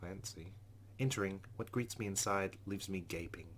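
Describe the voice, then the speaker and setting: A man with a fairly low voice hosts a game playthrough. He reads story text aloud and drops in his own asides. Loud noise runs behind him. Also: brisk. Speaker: let's play host. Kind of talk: reading visual novel narration aloud with asides. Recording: loud steady background noise.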